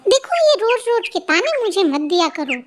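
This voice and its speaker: A lazy elder-sister bird, played by a woman, very high in pitch and full of complaint and self-pity. It is children's cartoon storytelling, with faint noise and chirping behind the voice. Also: big pitch swings, normal speed. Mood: irritated, defiant. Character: lazy, work-shy married female bird, elder sister.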